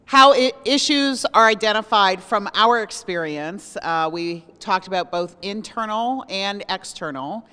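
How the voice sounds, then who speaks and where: A woman sums up her group's discussion at a community seminar. Her voice is low-set and smooth, her delivery measured and full of ums. The sound rings with long hall reverb. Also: bright, forceful tone. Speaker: seminar table spokesperson.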